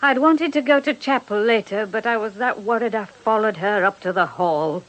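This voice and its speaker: A woman's voice: medium pitch, moderate pace, lightly acted, poor audio. A woman playing an elderly housekeeper and former nanny in a radio drama, devout, bitter and grieving, with fervent righteousness and a servant's deference. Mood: confiding. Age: older.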